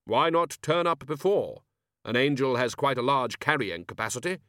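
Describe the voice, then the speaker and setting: Guy doing grim reaper type master, slow stern commanding, dry close storybook recording. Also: medium pitch, expressive, bright, forceful tone, dry recording.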